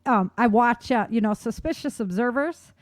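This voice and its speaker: A woman's voice: a little high, moderate pace, no room sound. A woman co-hosting a talk show, giving informal news commentary in an opinionated, emphatic, conversational way.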